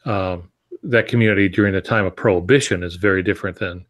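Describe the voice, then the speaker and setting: A man in his sixties with a lowish voice, talking as a podcast co-host. He gives casual, reflective advice over a video call from his home office. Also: steady tone, fast talker. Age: 60s. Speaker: podcast co-host, experienced writer. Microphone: video call on earphones.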